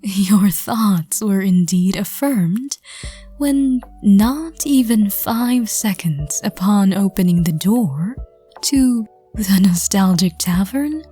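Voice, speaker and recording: A woman reading scripted second-person story narration in a soft, gentle, hushed voice. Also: mid-range voice, expressive, slow pace, soft background music. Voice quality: soft, breathy. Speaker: narrator and audio roleplay reader.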